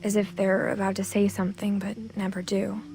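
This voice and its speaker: A woman playing a reflective seventeen-year-old girl, quietly narrating a story game in measured first person. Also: mid-range voice, monotone, music in the background, lightly acted. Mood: pensive, subdued. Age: teenager. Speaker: narrator protagonist. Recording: clear outdoor nature sounds with insects. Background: ambient insects and nature noise.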